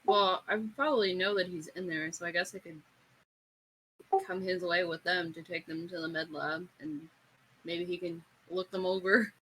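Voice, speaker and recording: A woman's voice: on the low side, steady tone, moderate pace, pushed and bright, slight background noise, rough recording. A woman chatting casually as a player in a tabletop roleplaying game.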